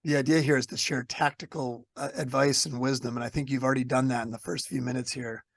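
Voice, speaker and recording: A man's voice: medium pitch, steady tone, fast, gravelly, dry recording. Man hosting, scratchy from a cold. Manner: upbeat, casual, many fillers. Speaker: podcast host. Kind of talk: conversational podcast interview.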